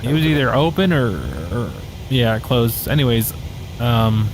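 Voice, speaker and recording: A man's voice: medium pitch, a little slow. A man giving casual, hesitant play-along flight sim commentary, thinking aloud. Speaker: flight sim streamer and pilot. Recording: steady engine and cockpit noise behind. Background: flight simulator aircraft noise, radio chatter.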